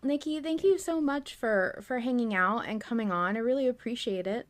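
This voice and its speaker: A woman's voice: on the high side, moderate pace. A woman hosting a fan discussion podcast in a casual, conversational style. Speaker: podcast host.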